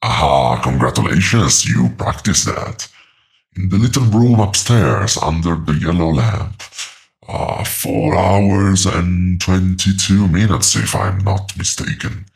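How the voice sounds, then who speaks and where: An age-old male demon for horror audio drama: heavy creaking fry, unhurried, calmly menacing and sardonic. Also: low voice, full character voice. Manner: menacing, calm, deliberate, mocking. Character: ancient patient male demonic entity living in walls.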